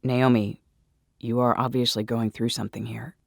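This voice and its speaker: A man's voice: mid-range voice, little pitch movement, moderate pace, gravelly, dry recording, studio quality, close to an everyday voice. A man voicing a kind, worried male friend, hurt and pleading, in a dramatic audio story. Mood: hurt, concerned.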